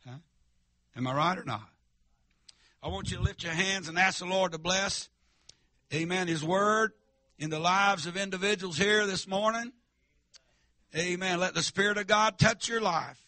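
Fiery preacher, bright and dry. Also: male voice, sits high, normal speed, pauses often, no echo. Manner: fiery holiness preaching, stammering restarts, calls out to the congregation. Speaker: pastor. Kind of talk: sermon. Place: church sanctuary.

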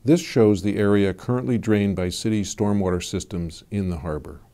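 A man narrates an explanatory video in a measured, even, scripted manner. His voice sits fairly low and has a dark, mellow tone. He sounds like a studio voice-over. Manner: measured, even informational delivery.

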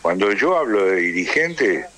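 An Argentine man speaking as a political interview guest, emphatic and rhetorical, building points through deliberate repetition. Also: lowish voice, very animated, a bit bright, husky. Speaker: interview guest, trade union leader.

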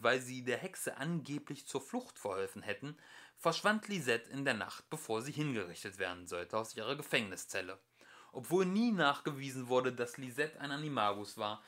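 A man's voice: medium pitch, a bit bright. A young adult man reading a book of fairy-tale commentary aloud as an amateur audiobook narrator.

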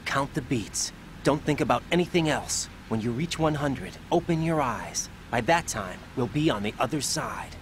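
An earnest young male soldier, played by a man in a game cutscene. His mid-pitched voice swings with feeling, urgent and reassuring, over faint background noise. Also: expressive, moderate pace, lightly acted. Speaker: voice actor in a video game. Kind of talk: dramatic game cutscene acting.

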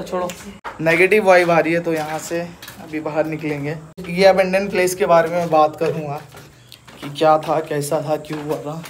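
A man vlogging as he explores an abandoned school by day, talking in an excited, spooked way and mixing in English words. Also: a little high. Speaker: vlogger exploring a haunted place. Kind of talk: casual walk-through vlog. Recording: loud insect and cricket noise behind.

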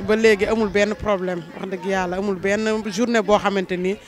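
A woman's voice: medium pitch, steady tone, a little fast. A woman in her thirties with a Senegalese accent, speaking as an event organiser in an outdoor interview. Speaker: tournament organiser. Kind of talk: on-camera interview. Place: outdoors on a sandy football pitch. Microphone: handheld reporter microphone.

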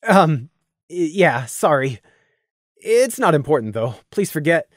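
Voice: medium pitch, big pitch swings, a little slow, dry recording, clean studio sound. A storyteller voicing a shy young pony stallion who stammers and protests when teased. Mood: apologetic.

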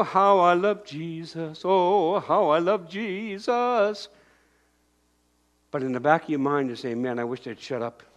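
A man's voice: fairly high pitch, lo-fi sound. An older man preaching a sermon in a church, moving about and raising his voice in bursts. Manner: animated preaching, shouting acted-out crowd voices and pointing. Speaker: pastor. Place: church sanctuary.

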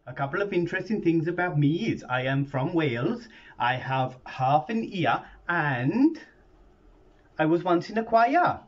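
A young man plays a spider youtuber who keeps boasting of his Welsh roots, deadpan and self-mocking. His voice runs high for a man, through a dull webcam mic. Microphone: webcam, video call framing.

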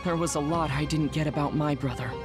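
An actor playing a thoughtful, analytical young male comrade in an anime game, speaking in a measured way. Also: on the high side, fairly even intonation, natural voice. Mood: measured, serious. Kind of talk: English-dubbed anime game acting. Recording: voice over loud music score.

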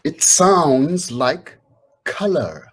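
A man's voice: a little high, big pitch swings, very slow, a bit bright, husky. A middle-aged man reading picture books aloud to children, chatting casually and encouraging them to join in. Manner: encouraging, inviting listeners to join in. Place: indoors, small home room.

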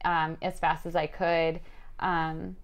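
Low-voiced woman, modest and giggly. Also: little pitch movement, slow. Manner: modest, giggly, many ums. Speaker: podcast co-host. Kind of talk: casual chat.

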